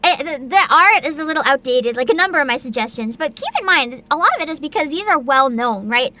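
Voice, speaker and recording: A woman hosting a livestream, chatting casually with viewers in an excitable, bubbly, giggly manner. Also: high voice, fast. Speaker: livestreamer host.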